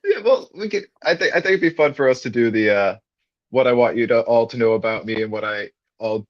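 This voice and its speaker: A man's voice: mid-range voice, a little fast, lo-fi sound. A man hosting an online book club, speaking hesitantly with many fillers and easy laughs. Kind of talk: casual live video chat.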